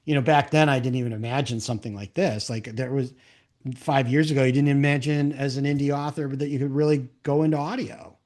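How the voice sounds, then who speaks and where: A man's voice: mid-range voice, steady tone, a little fast, darkish tone. Man hosting a casual podcast, thinking aloud. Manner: thinks aloud in rambling, enthusiastic riffs, often checking with "right?". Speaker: podcast host and interviewer. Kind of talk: casual conversational interview.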